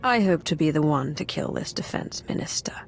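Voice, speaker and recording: Low, menacing Sith warrior. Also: female voice, expressive, brisk, creaky, whispered, faint music behind. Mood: cold, deadly. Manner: menacing, declarative. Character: ruthless female Sith warrior, cold and menacing. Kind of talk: video game cutscene acting.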